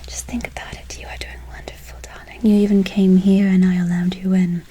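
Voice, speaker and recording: A woman playing a gentle, doting witch in a whispered ASMR role play, slow and soothing with a breathy voice, repeating words softly. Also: mid-range voice, little pitch movement, slight background noise, lightly acted. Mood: soothing, encouraging. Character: gentle doting forest witch casting a spell. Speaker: ASMR performer.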